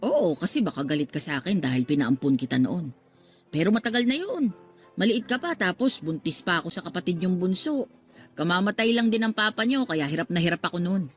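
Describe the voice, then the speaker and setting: An actress playing a talkative, motherly middle-aged working-class woman in a radio drama, expressive and given to exclaiming. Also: on the low side, fairly quick, lightly acted. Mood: regretful, explaining. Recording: music under the voice.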